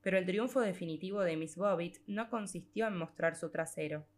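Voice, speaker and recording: A woman reading a literary short story aloud as an audiobook narrator, in a measured storytelling manner with drawn-out phrases. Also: on the low side.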